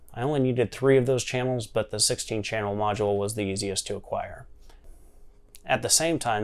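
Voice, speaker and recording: A man with a fairly low voice presents a homemade electronics project. He explains things step by step, as in a tutorial narration. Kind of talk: tutorial narration and screen demonstration.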